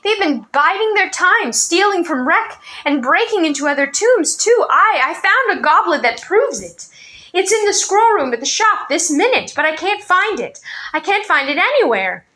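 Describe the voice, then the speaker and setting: Scared brave kid blurting everything out fast, high voice, woman reads it. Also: wildly swooping pitch, gravelly, sharp tone, full character voice. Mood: urgent. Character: brave, frantic boy on the run.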